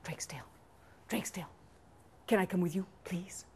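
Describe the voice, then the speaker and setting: Woman doing a hushed, whispery pleading fox. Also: fairly low pitch, moderate pace. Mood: coaxing. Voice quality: hushed, breathy near-whisper. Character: sly, pleading fox friend.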